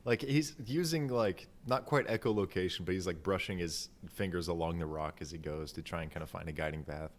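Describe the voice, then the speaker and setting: A man playing a tabletop role-playing game, teasing the others in a deadpan way. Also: deep voice, brisk, few pauses, slight background noise. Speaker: player. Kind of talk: joking tabletop roleplay.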